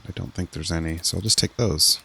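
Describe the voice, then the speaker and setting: A man's voice: low voice, a little fast, creaky, pushed and bright, breathy edge. A man doing casual video game commentary as a streamer, muttering unhurriedly as he thinks aloud.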